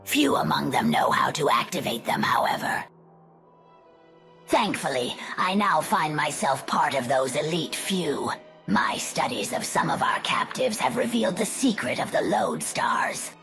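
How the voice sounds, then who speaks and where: A woman's voice: sits low, very animated, a little slow, heavily acted. A woman voicing a scheming, scholarly undead spider sorceress in a fantasy game cutscene, with a whispery hiss and formal, sly delivery. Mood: sly, explaining. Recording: loud music behind the voice.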